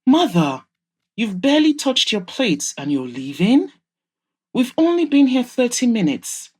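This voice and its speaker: A woman's voice: fairly high pitch, sing-song, unhurried, a little mellow, husky, pitched above the performer's own voice, heavily acted. A woman voicing an apologetic playboy son in his thirties in an audio drama, pleading and placating. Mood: protesting.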